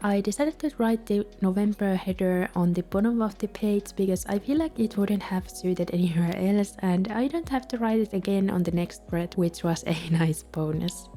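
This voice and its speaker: A woman with a Finnish accent, a native Finnish speaker, calmly narrating a voice-over for a journaling video in a gentle, soft-spoken way. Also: mid-range voice, moderate pace, few pauses, soft background music. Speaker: bullet journal vlogger.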